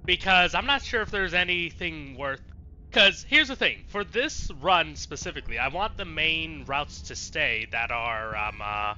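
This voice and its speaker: A man's voice: fairly high pitch, expressive, unhurried, pushed and bright. A man giving casual let's play commentary, rambling and chatty as he thinks aloud. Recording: slightly dull sound, faint game music. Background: faint game music.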